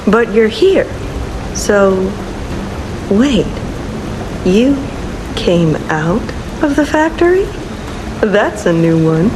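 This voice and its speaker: A woman's voice: medium pitch, drawn-out words, long pauses. An actress playing a sly, playful female rogue in a video game, teasing and drawling. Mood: sly, coaxing. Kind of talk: game character dialogue. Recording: steady rain-like noise behind.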